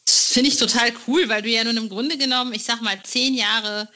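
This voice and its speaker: A woman's voice: fairly high pitch, fairly even intonation, a little fast, pushed and bright, dull audio. A middle-aged woman interviewing casually, with an informal, enthusiastic way of talking. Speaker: podcast interviewer.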